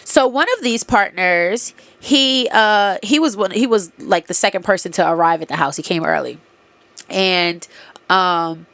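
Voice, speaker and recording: A woman hosting a podcast, telling a story in a candid, profane, animated way with a congested nose. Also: mid-range voice, measured pace, dry recording. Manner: candid, profane, animated retelling with quoted dialogue. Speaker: podcast host. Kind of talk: casual storytelling monologue.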